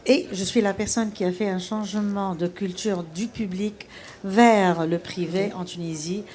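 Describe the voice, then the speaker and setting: A woman, an HR association president, speaking in a measured way and searching for words. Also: medium pitch, steady tone, darkish tone. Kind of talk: spontaneous testimony.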